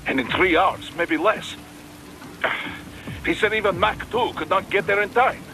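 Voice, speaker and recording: A man's voice: high-pitched, measured pace, over music, poor audio. A man acting as a pragmatic, worried military adviser with a Russian accent in a video game.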